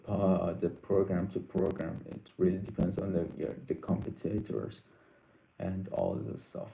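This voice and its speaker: A young man whose first language is Persian hosts a casual live Q&A. He reads out viewer questions and answers them haltingly, with many ums and restarts. His voice sits a little low and sounds mellow, and the room around him has a noticeable echo. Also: vocal fry.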